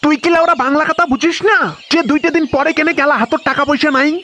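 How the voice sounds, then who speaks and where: A man's voice: very high-pitched, fairly even intonation, fast, bright, forceful tone, slight background noise. A man voicing an irritable village father in a comedy cartoon, scolding crudely with a North Bengal accent. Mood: exasperated. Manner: foul-mouthed, exasperated, scolding.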